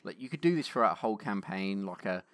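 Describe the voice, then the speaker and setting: A man talks casually on a podcast about gaming. His voice is on the low side. The sound is dry, with hardly any room around it. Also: animated, fast, fairly bright tone, no room sound. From England. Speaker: podcast host reviewing tabletop adventures.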